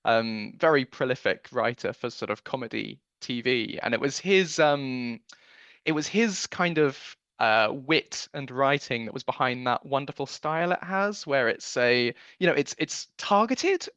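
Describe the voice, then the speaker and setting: A man's voice: medium pitch, expressive, unhurried, fairly bright tone, dry recording. Chatty Englishman, slightly dull close recording. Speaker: producer being interviewed. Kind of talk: conversational interview answers, recounting family memories.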